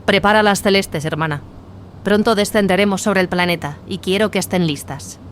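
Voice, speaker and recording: A woman's voice: medium pitch, moderate pace, slight background noise, lightly acted. A woman playing a wary, commanding warrior nun in a science fiction audio drama, serious and guarded. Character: wary, commanding female battle sister squad leader.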